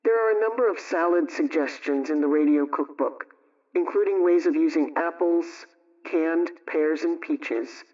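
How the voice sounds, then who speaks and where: Distant, high-pitched man reading as a homemaking radio host. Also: normal speed, darkish tone, natural voice. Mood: instructive. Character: practical, instructive 1920s radio homemaking aunt. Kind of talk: scripted 1920s radio homemaking chat. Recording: muffled, distant radio-style sound.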